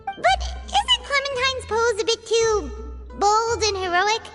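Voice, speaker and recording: A tiny, chirpy floating companion from a game, talking in a very high, bouncy voice that leaps up and down. She is blunt and nosy. Bright game music plays loudly behind her. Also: big pitch swings, slow, heavily acted. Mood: curious. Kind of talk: game cutscene voice acting. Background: game soundtrack music.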